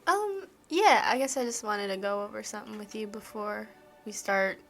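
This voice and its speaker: A woman's voice: medium pitch, moderate pace, fairly bright tone, close to an everyday voice. A woman voicing a resolute, caring dragon-rider in a tabletop game, speaking earnestly with some hesitation. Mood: hesitant, earnest.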